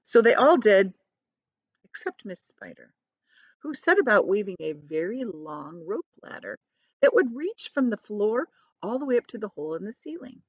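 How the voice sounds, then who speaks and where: A woman narrates a children's story with highly expressive pitch and small explanatory asides. Her voice sits at a middle pitch. The recording is dry and a little dull. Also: moderate pace, no echo. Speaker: teacher reading aloud to children.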